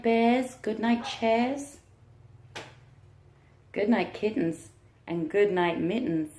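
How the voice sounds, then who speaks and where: A woman teacher reading aloud to young children in an encouraging manner, with questions and deliberate pauses. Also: medium pitch, darkish tone. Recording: roomy, echoing room sound.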